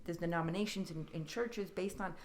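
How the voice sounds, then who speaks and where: A woman's voice: on the low side, fairly even intonation, fast, slight background noise. A woman guest on a podcast, talking earnestly and thoughtfully about faith and identity, building her points in lists. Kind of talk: reflective faith-based conversation.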